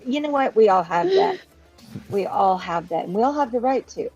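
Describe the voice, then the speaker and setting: A woman's voice: fairly high pitch, very animated, moderate pace, a little mellow, slightly rough, muffled recording, faint music behind. A woman co-hosting a casual chat, chatty and giggly, trailing off when she is unsure. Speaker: co-host.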